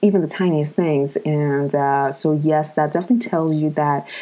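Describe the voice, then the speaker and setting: A woman's voice: low voice, steady tone, moderate pace, warm tone. A young woman in her twenties hosting a show, chatting casually to viewers with many fillers while coming down with a cold. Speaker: host.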